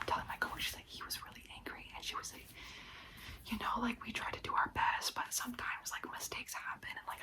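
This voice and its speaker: Young woman, whispered storytime, higher voice, venting with many "likes". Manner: whispering throughout, frequent "like" fillers; exasperated venting. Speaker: part-time food service worker.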